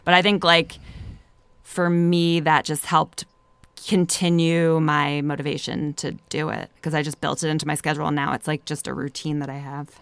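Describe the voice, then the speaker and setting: Smooth-voiced Philadelphia woman in casual chat. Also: low voice, little pitch movement, moderate pace, no room sound. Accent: Philadelphia American. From Philadelphia, United States. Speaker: podcast guest.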